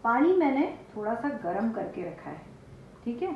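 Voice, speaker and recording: A woman's voice: a little high, sing-song, normal speed. Older Indian woman, echoey kitchen cooking lesson. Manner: instructional, explains step by step. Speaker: chef, cooking show host. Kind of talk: cooking demonstration to camera. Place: home kitchen, indoors. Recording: echoey, muffled kitchen recording with noise.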